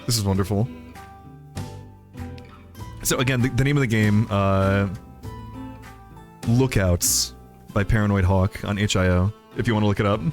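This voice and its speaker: Low-voiced man streaming a story game. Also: steady tone, moderate pace, long pauses, faint music behind. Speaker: streamer reading a visual novel aloud. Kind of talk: narration and casual livestream chat.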